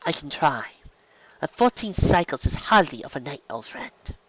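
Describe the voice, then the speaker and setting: Man voicing a soft, earnest alien woman. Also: on the high side, sing-song, moderate pace, no room sound, dull audio. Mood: gently defiant. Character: earnest, determined alien woman, devoted scholar.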